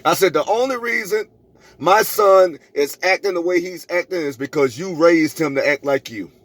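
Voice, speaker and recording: A man's voice: on the high side, moderate pace, a bit bright. A middle-aged man vlogging a personal story in an emphatic, preachy way, repeating phrases for effect and acting out both sides of conversations. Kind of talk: animated storytelling to camera, re-enacting arguments.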